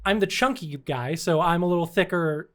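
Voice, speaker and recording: A man's voice: a little high, normal speed, fairly bright tone. A man telling a cryptid story on a casual comedy podcast, teasing his co-hosts and laughing often.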